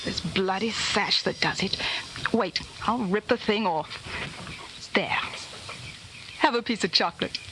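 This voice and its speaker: Casting: woman playing young conspiratorial rebel lover, mid-pitched voice, frank delivery, vintage radio drama with marked noise. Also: unhurried, pauses often, lightly acted. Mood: frank, playful. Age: young adult. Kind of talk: 1940s radio drama acting. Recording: noisy old 1940s radio recording.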